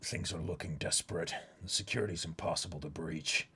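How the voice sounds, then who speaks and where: A man voicing a grave, resolute warrior with a hushed, deliberate delivery in a comic book reading. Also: sits low, slightly rough, voice pushed down, exaggerated voice. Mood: grim. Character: grave, low-voiced male warrior, weary and resolute, ready for sacrifice.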